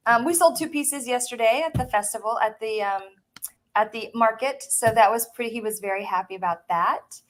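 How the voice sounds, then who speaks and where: Woman, co-host, casual chat, bubbly, gushing, fairly high voice. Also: fairly quick. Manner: bubbly, gushing, laughs often. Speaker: co-host of a reseller chat show.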